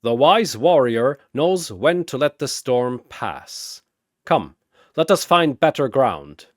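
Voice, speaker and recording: A man voicing a jovial, wise warrior who speaks in measured, proverb-like phrases for a fantasy battle story. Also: medium pitch, sing-song, dry recording, studio quality. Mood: hearty, sage.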